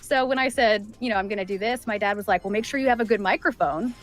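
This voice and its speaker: A middle-aged woman voice actor chatting casually as an interview guest, animated in her storytelling and acting out quoted remarks. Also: mid-range voice, fast talker. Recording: music playing behind the voice. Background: background music.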